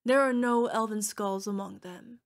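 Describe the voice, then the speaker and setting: A woman's voice: mid-range voice, moderate pace, lightly acted. A woman playing an affectionate young orc girlfriend in an ASMR roleplay, emotional and playful as she talks to her partner. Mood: playful, defensive. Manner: gushing, emotional, playful, talking to an unheard partner. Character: young orc girlfriend, fierce yet cutesy, needy, affectionate.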